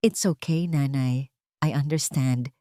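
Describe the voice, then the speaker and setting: A woman's voice: a bass voice, takes it slowly, dark tone, dry recording, studio quality. A woman voicing a cold, snobbish wife who feigns sweetness, clipped and condescending, slipping English into Tagalog in an audio drama story. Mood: cold, firm.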